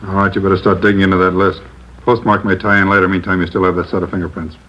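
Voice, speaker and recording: An actor playing a terse, businesslike senior police officer in a 1950s radio drama. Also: male voice, low-pitched, level tone, very fast, natural voice. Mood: terse, instructing. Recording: dull old radio recording.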